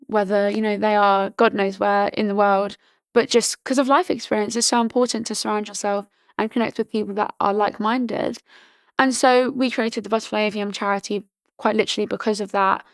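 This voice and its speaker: A woman in her early twenties from Britain, chatting casually as a podcast guest, full of "like" fillers and restarts, her voice slightly hoarse. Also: medium pitch, dry recording. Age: early 20s. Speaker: podcast guest, charity founder. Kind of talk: casual interview chat.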